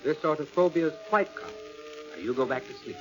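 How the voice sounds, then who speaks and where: A man playing a calm, authoritative doctor, measured and reassuring, in 1940s radio style. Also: on the high side, over music, close to an everyday voice. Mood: calm, clinical. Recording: muffled old radio recording.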